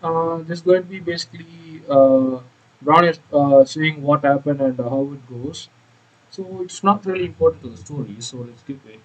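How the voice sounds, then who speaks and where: Halting male gameplay commentator. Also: fairly high pitch, husky, highs cut off. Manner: halting, hesitant delivery. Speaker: gameplay YouTuber. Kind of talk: casual game walkthrough commentary.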